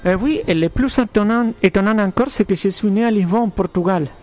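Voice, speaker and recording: A man from Argentina with a fairly high voice speaks as a composer in an interview. He picks his words slowly, with false starts and repeated words. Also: a little mellow. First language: Spanish. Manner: thoughtful, halting, many restarts. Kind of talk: radio interview.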